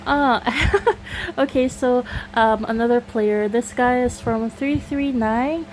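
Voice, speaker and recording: A woman's voice: a little high, steady tone, slow, rough recording. A woman from Singapore with a Singaporean accent, chatting casually to viewers about a mobile game, filling her talk with "you know" and laughing often.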